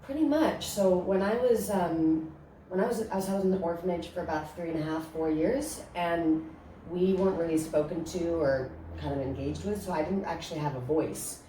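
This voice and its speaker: A young woman from Romania answers interview questions in a low, mellow voice, warm and candid, with hesitant fillers and restarts. The recording has her at a distance in a roomy space, with a faint hiss behind her. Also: normal speed. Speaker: guest singer-songwriter. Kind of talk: casual interview. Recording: echoey room, distant mic, faint noise.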